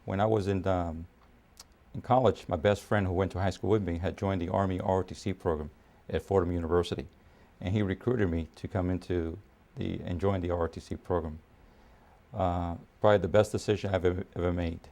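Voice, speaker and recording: Man in his fifties, deep and mellow voice, army officer recounting his career, pausing often. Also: fairly even intonation, gravelly. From Puerto Rico, raised in New York City. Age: 50s. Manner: measured, with frequent pauses and fillers. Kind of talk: documentary interview.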